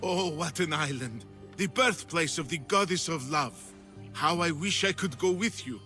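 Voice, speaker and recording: A man's voice: on the high side, moderate pace, pushed and bright, soft background music. A man playing an anxious, effusive and fussy hunted sculptor in a dramatic video game. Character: anxious, excitable hunted male Greek sculptor, theatrical and scholarly.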